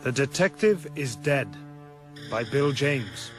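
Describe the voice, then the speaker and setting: A man's voice: mid-range voice, animated, takes it slowly. A man announcing a radio play in a scripted style. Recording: music underneath.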